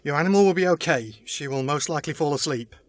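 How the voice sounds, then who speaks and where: An actor playing an earnest resistance member in an audio drama, explaining things in a measured way. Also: male voice, a little high, animated, no room sound, lightly acted. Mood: reassuring. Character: earnest male resistance member, former presidential assistant. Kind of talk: full-cast audio drama acting.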